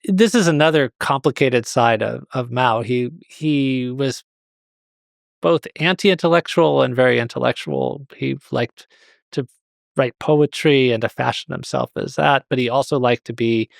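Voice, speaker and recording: A man's voice: mid-range voice, a little slow, creaky, no room sound, clean studio sound. A man speaking as an expert guest in an interview, explaining hesitantly and often rephrasing himself.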